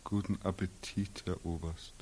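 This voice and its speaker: An actor playing a weary, bitter young German war veteran in a 1940s radio drama, halting and heavy with repetition. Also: male voice, deep voice, little pitch movement, dark tone, a little hiss or hum. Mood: subdued, bitter.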